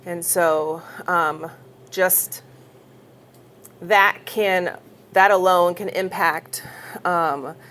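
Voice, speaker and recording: A woman educator speaking on a panel in a somewhat low voice. She explains carefully with a touch of nervousness. Faint background noise can be heard. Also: fairly bright tone. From United States. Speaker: HIV educator. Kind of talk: panel discussion.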